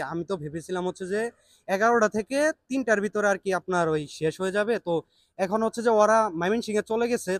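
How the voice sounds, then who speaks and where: Fast-talking young Bangladeshi man, higher-pitched voice. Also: no room sound, clean studio sound. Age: young adult. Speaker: prank vlogger. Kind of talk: casual spoken prank call and vlog intro.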